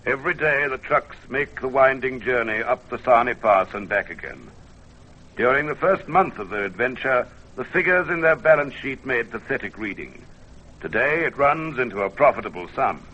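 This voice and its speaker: A man narrating an old-time radio adventure from a script in a measured, theatrical manner. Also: mid-range voice, animated, sharp tone. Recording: dull vintage radio recording. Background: music.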